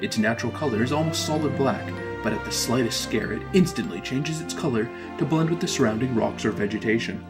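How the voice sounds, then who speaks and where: A man's voice: mid-range voice, a little fast, few pauses. A man reading scripted narration as a narrator, with music behind his voice.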